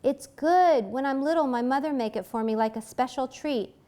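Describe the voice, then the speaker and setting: A woman voicing an earnest, reserved young Vietnamese shopkeeper who speaks halting, broken English with a Vietnamese accent. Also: high-pitched, mellow, lightly acted. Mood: encouraging.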